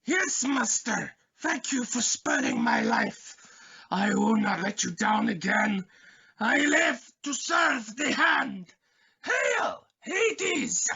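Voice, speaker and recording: An actor playing a fawning, anxious assassin who serves a cult, with theatrical servile pleading and a strained raspy voice, in an amateur radio play. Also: male voice, very high-pitched, sing-song, slow pace, pushed and bright, dull audio, full character voice. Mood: relieved, devoted.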